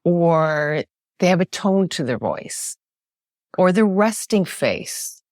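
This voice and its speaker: A woman with a naturally loud voice, explaining communication ideas as a guest in an emphatic, animated way, often checking in with "right?". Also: fairly low pitch, slow, no echo, studio quality. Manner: emphatic, animated, frequent tag question "right?". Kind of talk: podcast interview, explaining with examples.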